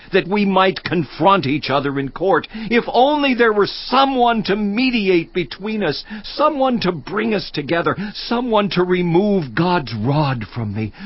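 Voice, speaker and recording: A suffering man pouring out his bitterness to God, his voice high and slow. It sways from pleading cries to hushed whispers, recorded dry and a little dull. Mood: anguished. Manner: anguished, swings from loud to whispered. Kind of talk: dramatized scripture reading.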